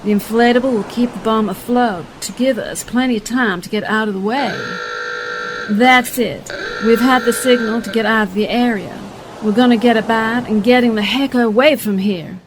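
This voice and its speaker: A woman playing a news reporter and newsreader in a radio play, with a clear broadcast delivery. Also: a little high, normal speed, noisy background, natural voice. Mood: businesslike, then excited, awed.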